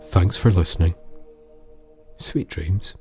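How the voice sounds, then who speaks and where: A man narrating a scripted tale in a measured way, with dramatic pauses. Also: sits low, warm tone. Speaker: storyteller, podcast narrator. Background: music bed with guitar.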